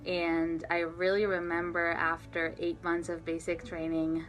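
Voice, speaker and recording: A woman's voice: lowish voice, completely flat delivery, unhurried, low-quality recording. Reflective Israeli woman in her thirties. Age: 30s.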